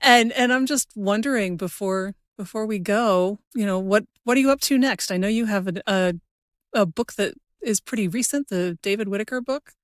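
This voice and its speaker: A woman's voice: medium pitch, normal speed, no room sound, clean studio sound. A woman hosting a podcast, musing aloud in a casual, conversational interview style. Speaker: podcast host.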